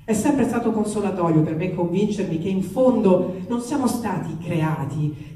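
A woman giving an expressive, theatrical reading of a letter. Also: lowish voice. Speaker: reader of comic letters. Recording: echoey room, distant mic, faint noise.

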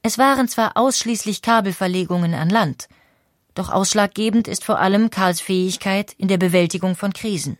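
A woman narrating a scripted history documentary with clear, even storytelling and rhetorical questions. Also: medium pitch, moderate pace, no room sound, clean studio sound.

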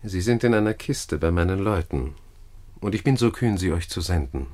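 An actor playing a scheming, flattering Roman gentleman in a classical radio play, speaking courtly, florid verse. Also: low voice, normal speed. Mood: courteous, persuasive.

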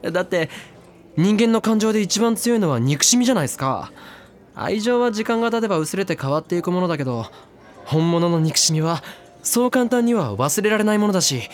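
Man voicing a reckless young guy, slangy clipped speech, fairly high voice, clear background music. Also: lightly acted. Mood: musing. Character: brash young man, reckless, thrill-seeking, lovesick. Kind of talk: drama CD acting.

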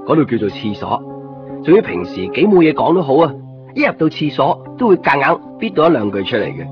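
A man's voice: a little high, big pitch swings, fast talker. A man playing an easygoing young copy shop keeper who narrates and chats in a Hong Kong Cantonese radio drama. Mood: wry. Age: young adult. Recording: muffled radio recording with music.

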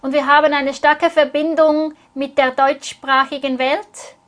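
A woman's voice: high voice, little pitch movement, unhurried, fairly bright tone. A woman interpreting a sermon phrase by phrase, speaking earnestly and closely following the preacher.

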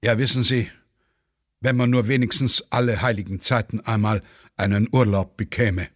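A man's voice: on the low side, steady tone, normal speed, no echo, clean studio sound, pitched below the performer's own voice, natural voice. A man voicing a war-weary, curt Austrian army lieutenant, resigned and clipped, in a play reading.

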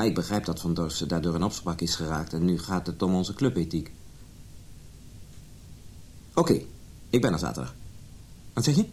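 An actor playing a condescending, coaxing husband and family doctor in a naturalistic radio drama. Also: male voice, sits low, darkish tone, slight background noise. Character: patronising, smooth husband, a family doctor with literary ambitions.

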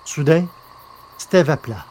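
A man's voice: a little high, animated, a little slow, a little mellow, slight background noise, low-quality recording. A man narrating an audio drama from a script in a careful, literary reading style. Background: music.

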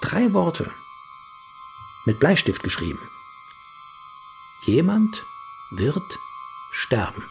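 Actor as a creaky, highly animated scholar. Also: male voice, drawn-out words, long pauses, over music, full character voice. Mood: ominous. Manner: formal, bookish, theatrical. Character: excited, formal, obsessive male archive researcher. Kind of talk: dramatic letter narration in radio drama.

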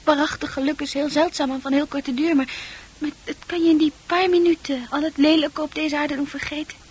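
High-voiced, dreamy young woman played by an actress. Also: steady tone, fairly quick, natural voice. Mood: wistful, earnest. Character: dreamy, sensitive, troubled young female confiding her secrets. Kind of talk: Dutch radio play acting.

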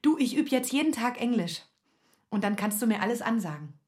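An actress playing a resolute, practical and affectionate young widow with a plain, familiar manner in a radio play. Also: female voice, a little high, very animated, brisk, background noise. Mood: eager, affectionate.

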